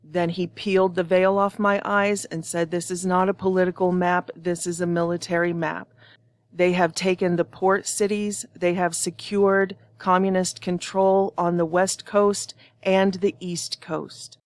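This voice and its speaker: A hoarse woman speaking earnestly and without preparation, delivering a prophetic message straight to her viewers. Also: on the low side, flat delivery, unhurried, no echo. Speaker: online prophecy channel host. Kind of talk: prophetic video monologue.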